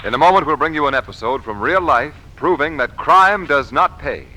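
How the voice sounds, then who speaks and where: A man's voice: on the high side, lively intonation, a little fast, over loud music, poor audio. A man doing polished, dramatic scripted announcing in a 1940s radio style, on an old broadcast recording.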